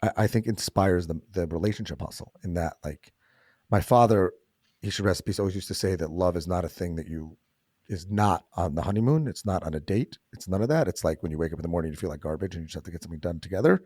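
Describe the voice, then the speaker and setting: A man's voice: deep voice, fast, a little mellow, husky, no echo, clean studio sound. A man hosting a podcast, chatting casually and making joking asides. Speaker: podcast host. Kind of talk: casual interview chat.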